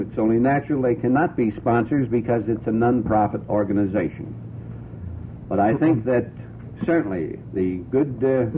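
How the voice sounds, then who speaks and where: A man hosting a radio talk show in a conversational, deliberate way, repeating and spelling out details for listeners. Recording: noisy, tinny vintage radio recording with rumble.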